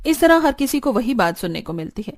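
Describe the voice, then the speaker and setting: High-pitched woman narrating cartoon stories. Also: big pitch swings, fairly quick. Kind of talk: scripted cartoon story narration.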